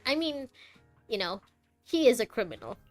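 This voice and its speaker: A woman's voice: fairly high pitch, big pitch swings, moderate pace, no echo. A woman streaming a game with casual, chatty commentary, reacting aloud to the characters. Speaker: gaming streamer. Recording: game music faintly behind.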